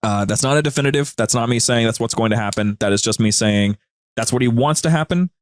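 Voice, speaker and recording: A man's voice: medium pitch, quick pace, no room sound, clean studio sound. A man chatting casually as a podcast guest, informal and full of slang. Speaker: podcast guest, audio drama producer. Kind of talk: casual chat.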